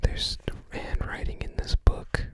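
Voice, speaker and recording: Slow, whispered ASMR voice. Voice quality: soft breathy whisper, unvoiced. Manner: unhurried, musing, tentative recall. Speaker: ASMR video host. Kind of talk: ASMR, unscripted whispered commentary while browsing a game guide book.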